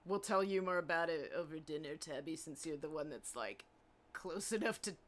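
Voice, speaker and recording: A woman's voice: sits low, moderate pace. A woman chatting informally and slangily in a live Q&A with colleagues. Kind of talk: casual banter in a live Q&A.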